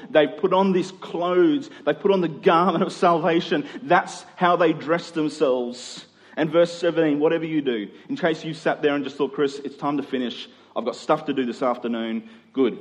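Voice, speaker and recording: A man preaching a sermon, reading scripture and urging listeners in a conversational way with repeated phrases. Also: fairly high pitch. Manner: conversational, exhortative, rhetorical repetition.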